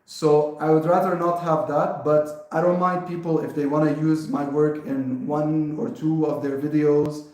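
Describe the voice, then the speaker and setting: A man's voice: a little high, flat delivery, measured pace, hardly any pauses, darkish tone. A man from Lebanon, a native Arabic speaker, answering viewer questions on a casual live stream in an informal, hesitant way. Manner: informal, hesitant, chuckling often. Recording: very echoey, reverberant room.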